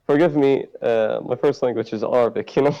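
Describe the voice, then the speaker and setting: A man answering as a guest on a roleplay talk show, speaking haltingly and searching for words. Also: mid-range voice. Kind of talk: in-character roleplay interview. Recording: muffled lo-fi mic.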